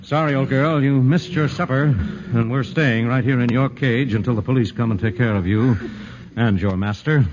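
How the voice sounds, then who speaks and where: An actor playing a steady, commanding newspaper editor in a 1940s radio drama, speaking in urgent, clipped orders. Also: male voice, medium pitch, normal speed, close to an everyday voice. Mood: composed. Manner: urgent, clipped, takes charge. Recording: dull noisy 1940s radio recording.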